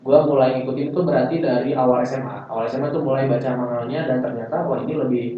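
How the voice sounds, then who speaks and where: A man's voice: mid-range voice, steady tone, quick pace. A young man, chatting and explaining casually as a podcast guest. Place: indoor podcast studio. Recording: very echoey, distant, thin phone-like sound, faint noise.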